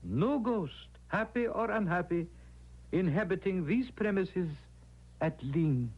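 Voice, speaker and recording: An actor playing a pompous, solemn medium in an old radio drama, theatrical and deliberate. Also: male voice, sits high, animated, slight background noise, full character voice. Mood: indignant, lofty. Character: pompous, solemn male medium conducting a seance. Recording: dull old radio recording.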